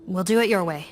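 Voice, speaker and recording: An actress playing a dutiful law officer who leads a team, speaking in a firm, businesslike, slightly weary way. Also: female voice, lowish voice, lightly acted. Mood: serious. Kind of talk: game cutscene voice acting. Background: game music.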